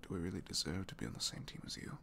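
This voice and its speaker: A man's voice: low-pitched, very fast, creaky, bright, forceful tone, a little hiss or hum, exaggerated voice. A man acting out a bashful, flirtatious supervillain with a good heart in an intimate ASMR roleplay, speaking hesitantly with sheepish stammers. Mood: earnest, shy.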